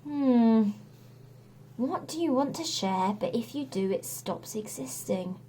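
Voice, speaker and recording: Casting: woman playing an earnest, reassuring young boy in a children's tale, high and expressive. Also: slow, a little hiss or hum. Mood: puzzled. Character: earnest young boy, eager puzzle lover, patient helper. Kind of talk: children's storybook character voice.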